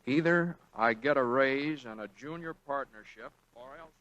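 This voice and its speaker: An American actor playing a meek, flustered young lawyer and husband who stammers hesitantly in a 1940s radio drama. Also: male voice, medium pitch, normal speed, a bit bright, muffled recording, natural voice. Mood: nervous. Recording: vintage 1940s radio broadcast.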